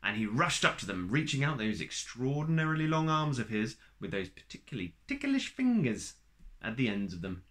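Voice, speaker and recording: A man reading a children's picture book aloud in a slow, playful storytime manner, adding little asides to listeners. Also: medium pitch, big pitch swings, fairly bright tone.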